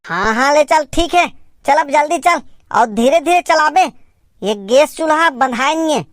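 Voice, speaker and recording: Man playing stubborn cartoon villager, high pitched-up voice, Chhattisgarh accent, fussy, insistent, dry sound. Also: expressive, moderate pace, a bit bright, no room sound. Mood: bossy. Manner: insistent, repeats words emphatically. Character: stubborn, fussy, argumentative male villager on a picnic. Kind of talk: cartoon comedy dialogue.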